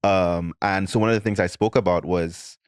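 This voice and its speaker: Deep-voiced man hosting, thoughtful and halting. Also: fairly even intonation, clear, resonant tone, no echo, clean studio sound. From Saint Lucia. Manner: thoughtful, halting with repeated restarts. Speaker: podcast host. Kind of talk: casual chat.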